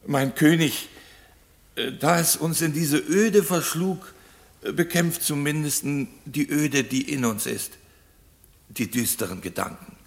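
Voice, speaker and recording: An actor playing a kindly, idealistic old royal counsellor, earnest and halting, with a creaky voice, in a German radio play. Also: male voice, fairly high pitch. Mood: consoling.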